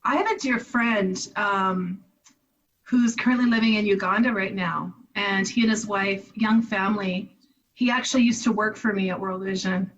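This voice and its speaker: A woman's voice: mid-range voice, moderate pace, fairly bright tone. Reflective Canadian woman, distant muffled mic. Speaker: guest, charity director. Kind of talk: conversational interview.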